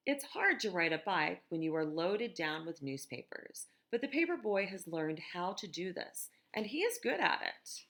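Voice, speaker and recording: An American woman reading a picture book aloud to children in a gentle, expressive teacher's manner, with conversational asides. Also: fairly low pitch, normal speed, few pauses. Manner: gentle, expressive, asks listeners questions.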